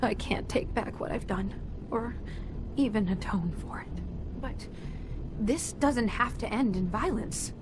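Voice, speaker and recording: Woman as an earnest, halting robot inventor, high-pitched. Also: natural voice. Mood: remorseful, pleading. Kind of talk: dramatic video game acting. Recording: clear background noise and ambience.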